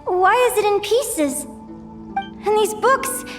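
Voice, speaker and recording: A woman voicing a gentle, anxious young woman in a video game, musing to herself. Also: very high voice, expressive, takes it slowly, over music, heavily acted. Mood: puzzled, weary.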